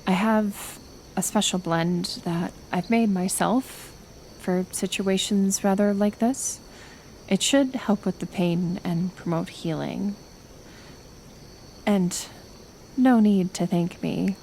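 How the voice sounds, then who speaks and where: A woman acting a kind but stubborn reclusive healer in an ASMR roleplay, gentle yet firm, unhurried, with sighs and pauses. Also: medium pitch, slight background noise, poor audio. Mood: calm, firm.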